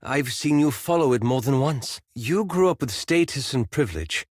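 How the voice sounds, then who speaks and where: A man's voice: medium pitch, expressive, normal speed, a little mellow, no room sound, close to an everyday voice. Man acting a reserved, courteous elf in dry close sound. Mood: earnest, guarded. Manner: formal, articulate, hesitant and apologetic phrasing. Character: reserved, courteous male elf adventurer with a guarded temper. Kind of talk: scripted video game character dialogue.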